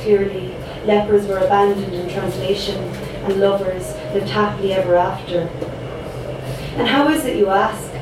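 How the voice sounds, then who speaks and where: A young woman with a Cork accent performs her poems in a rhythmic, dramatic style, with casual chatty asides between them. Her voice sits at a middle pitch. It echoes heavily through the room, picked up from a distance with noise behind it. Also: fairly even intonation, moderate pace. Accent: Irish, Cork. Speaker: spoken word poet. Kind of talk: spoken word poetry performance.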